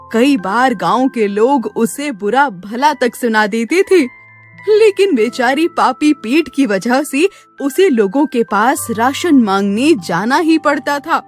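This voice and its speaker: A woman narrating a scripted children's moral story in an expressive, sympathetic way. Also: high voice. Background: music.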